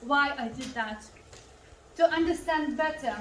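High-pitched Lithuanian woman speaker in a hall. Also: measured pace. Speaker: conference speaker. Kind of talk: personal motivational speech. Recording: echoey hall, distant mic, faint noise.

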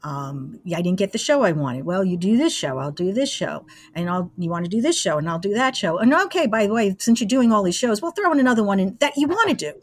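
A woman's voice: mid-range voice, sing-song, quick pace, hardly any pauses, no echo, clean studio sound. An American woman in her sixties from Brooklyn, chatting casually and easygoing as she tells anecdotes as an interview guest. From Brooklyn, New York, USA. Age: 60s. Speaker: interview guest, former college radio engineer. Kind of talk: casual reminiscing.

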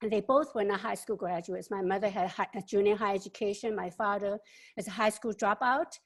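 An older woman in her seventies with a gravelly, fry-tinged voice. She answers an interview question at length, and her reflective telling stops and starts with fillers and repeated words. Her pitch sits in the middle range. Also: fairly even intonation, a little fast. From China. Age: 70s.

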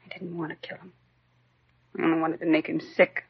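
Dull old radio sound, cornered American wife and mother, raspy, fast talker, 1950s crime drama. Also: low voice, level tone, full character voice. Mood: broken, pleading. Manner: indignant, evasive, clipped retorts. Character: defensive, tearful housewife and mother suspected of poisoning her husband.

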